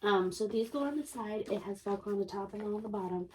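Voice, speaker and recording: A woman's voice: medium pitch, fast talker, darkish tone, a little hiss or hum, rough recording. A woman in her thirties, a homeschool vlogger with a sore throat and a congested, throaty voice, chatting enthusiastically with many ums as she shows off a purchase. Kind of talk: casual show-and-tell vlog.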